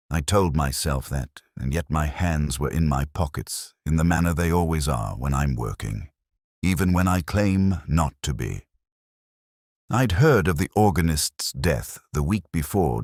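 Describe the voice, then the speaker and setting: Casting: male actor for brooding, introspective detective telling his own mystery; rock-bottom pitch, formal measured phrasing with lively melodic movement. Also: dry recording, studio quality, heavily acted. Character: brooding, sceptical English police detective, mature man, reflective. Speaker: narrator. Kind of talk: scripted first-person mystery narration, literary.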